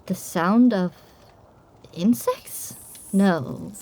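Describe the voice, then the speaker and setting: A woman's voice: mid-range voice, drawn-out words, noisy background. A woman narrating an audio drama in the first person with a dramatic, scripted delivery.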